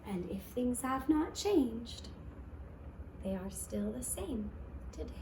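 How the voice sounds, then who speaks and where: A woman narrating a fairy tale for children in a deliberate, expressive storytelling manner. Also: a little high. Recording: echoey room with faint noise.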